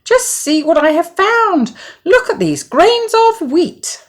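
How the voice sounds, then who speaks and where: A woman voicing a cheerful, industrious little mother hen in a storybook, exclaiming in an excited, sing-song way. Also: very high voice, slow, voice pushed up, exaggerated voice. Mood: excited, clucking.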